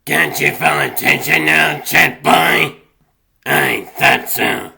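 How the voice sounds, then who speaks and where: Cartoon dog goon: very gravelly, very slow, slangy fake-friendly threat. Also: male voice, a little high, steady tone, pushed and bright, a little hiss or hum, full character voice. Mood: mock-friendly menace. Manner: slangy, mock-friendly menace. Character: Mad Dog Earl, thuggish dog gangster henchman. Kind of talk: comic dub cartoon acting.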